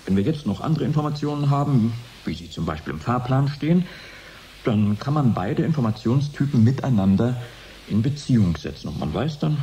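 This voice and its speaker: An actor playing a scientist giving careful, halting explanations in a radio play interview. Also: male voice, medium pitch, warm tone, close to an everyday voice. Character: hesitant male scientist explaining his experiment on radio. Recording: noisy 1980s radio recording.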